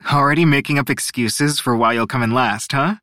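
A sardonic, protective young man voiced by a male narrator in a fantasy audiobook. He has a wry, quipping manner and an unforced medium pitch. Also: big pitch swings, brisk, fairly bright tone, no echo, clean studio sound. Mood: sarcastic.

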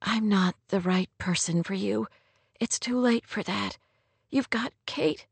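A woman voicing a torn, anxious young woman in love for a romance audiobook, in a soft, breathy, pained murmur. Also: lowish voice, fairly even intonation, slow pace, no echo. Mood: pleading. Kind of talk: romance audiobook character dialogue.

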